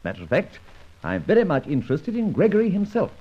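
A man acting a suave, self-assured gentleman adventurer in an old-time radio drama, breezy and urbane in his old-fashioned phrasing. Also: high-pitched, sing-song, fast, creaky, husky, exaggerated voice. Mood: brisk, determined. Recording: dull, lo-fi old radio recording.

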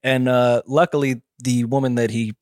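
A man's voice: mid-range voice, level tone, normal speed, no room sound, clean studio sound. A man co-hosting a podcast in casual chat, teasing his partner and laughing a lot as he tells stories. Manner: playful, teasing banter with frequent laughs. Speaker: podcast co-host.

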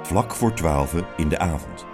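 A man's voice: a bass voice, expressive, moderate pace, gravelly, music in the background, full character voice. A man narrating scene changes in a scripted radio drama. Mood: matter-of-fact. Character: male narrator announcing scene times. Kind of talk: scripted narration over music.

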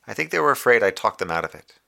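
A lonely communications officer left stranded on a moonbase in an audio drama. His voice is deep, with a bright, tense edge and plenty of gravel. He broods haltingly over his guilt, sometimes drifting into verse as he records his distress log. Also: sing-song, heavily acted. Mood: bitter, resigned. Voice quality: low, gravelly.

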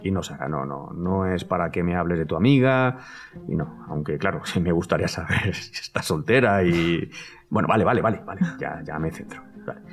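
Audio drama: male actor as an agitated man with a Castilian accent, nervously rambling, very lively pitch, music underneath. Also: fairly quick. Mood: hesitant, confiding. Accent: Castilian Spanish. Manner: rambling, nervous, repeating himself. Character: agitated man convinced his house hides his favourite food. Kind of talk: Spanish audio drama acting.

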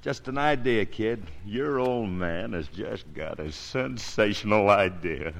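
A man's voice: lowish voice, slow, vocal fry. An actor playing an eager, wheedling showman husband and playful father in slangy mid-century radio drama style. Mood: excited, persuasive. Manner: slangy, breezy, persuasive. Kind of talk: 1940s-50s radio acting. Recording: vintage 1950 radio broadcast recording.

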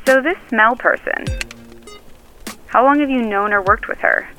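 A woman's voice: on the high side, a little slow, bright, forceful tone, faint music behind. An actress playing a brash, wry, tough young farm woman in an audio drama, blunt and teasing over a phone-call filter. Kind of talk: scripted audio drama, naturalistic phone dialogue. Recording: band-limited phone line effect.

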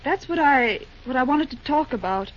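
A woman's voice: high-pitched, expressive, moderate pace. A woman acting an earnest, anxious young office worker in an old-time radio drama, speaking hesitantly. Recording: muffled, noisy old radio recording.

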